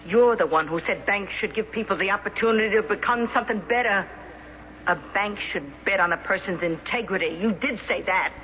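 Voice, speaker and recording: A plain-spoken, motherly landlady played by a woman in an old radio drama. She prods bluntly and folksily in a bright, forceful voice. The broadcast sound is dulled, with faint noise. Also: medium pitch, a little fast, dull audio, close to an everyday voice. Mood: prodding, encouraging.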